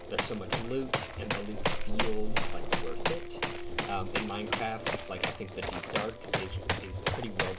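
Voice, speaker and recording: A man, sick and raspy-voiced, casually commenting on a game as he plays, rambling with many ums and likes. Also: lowish voice. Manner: rambling, hesitant, lots of um and like. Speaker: gaming YouTuber. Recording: quiet voice under loud game music. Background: loud game music.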